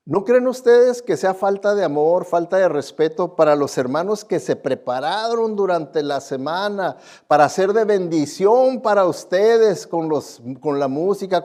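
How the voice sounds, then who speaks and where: A man's voice: a little high, a little mellow. A man preaching as a pastor to his congregation in a conversational way, admonishing them and often putting questions to them. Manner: admonishing, often asks listeners questions and tags for agreement. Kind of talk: conversational sermon with rhetorical questions. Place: speaking live before a church congregation.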